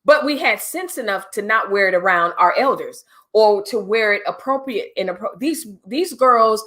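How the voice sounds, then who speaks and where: A woman's voice: medium pitch, expressive, normal speed, fairly bright tone. A middle-aged woman hosting a livestream, talking casually and directly to her viewers with preachy asides. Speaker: livestream host.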